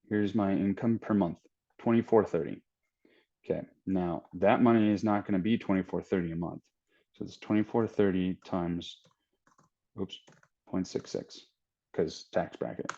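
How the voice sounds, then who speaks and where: A man's voice: fairly low pitch, warm tone. A man in his thirties hosting a trading channel, talking casually and thinking aloud with restarts as he works through numbers on screen. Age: 30s. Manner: rambling, thinking aloud with restarts while calculating. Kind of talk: casual screen-share walkthrough. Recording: dull lo-fi sound.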